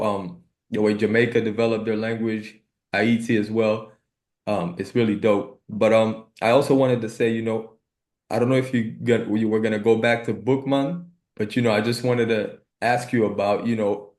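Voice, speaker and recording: Male podcast co-host, fairly low voice, informal conversational delivery, echoey room. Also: darkish tone. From Cuba. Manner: informal, often checks with "right" and "you know". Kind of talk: casual conversational interview.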